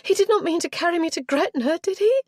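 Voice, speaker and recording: A woman voicing a petulant, self-centred young Regency heiress who talks in a high, sulky, easily wounded way. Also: normal speed, no room sound, studio quality. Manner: sulky, high-strung, rising to tearful.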